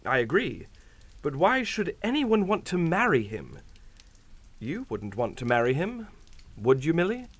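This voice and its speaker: An actor playing a hearty, blustering English captain with an old-fashioned upper-class manner in a radio suspense drama. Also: male voice, on the high side, wildly swooping pitch, a little slow, pauses often, slightly rough, no echo, full character voice. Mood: genial bluster. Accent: British. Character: blustering, genial English captain, an uneasy guest.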